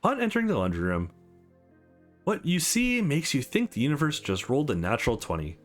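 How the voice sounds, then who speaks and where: A man narrates a visual novel as a let's play host. His reading is dramatized, with very animated pitch at an average depth, and faint music plays behind him. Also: brisk. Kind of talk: dramatized narration of game text.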